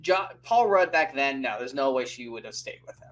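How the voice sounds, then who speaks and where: A man co-hosting a casual movie debate podcast, animated and loose, with many fillers, laughs and the odd swear. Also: medium pitch, brisk. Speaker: podcast co-host.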